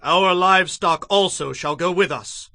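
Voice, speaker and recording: An actor playing a stern, unyielding Hebrew prophet in a dramatized audio Bible, speaking in a solemn, firm, declamatory way. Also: male voice, sits high, unhurried, sharp tone, no room sound, clean studio sound, exaggerated voice. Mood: firm, defiant.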